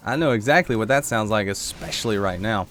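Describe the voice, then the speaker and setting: A man giving casual gameplay commentary while playing a shooter, with a congested throat he keeps clearing, chatting to viewers and reacting aloud. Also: on the low side, a little fast, fairly bright tone, faint music behind. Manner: chatty, reacts aloud to the game, answers chat. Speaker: gaming YouTuber.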